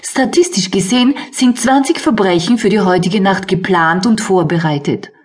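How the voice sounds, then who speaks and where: A woman's voice: mid-range voice, moderate pace, a little mellow, far from the mic. A woman giving scripted narration in a radio play, with a wry, ironic tone.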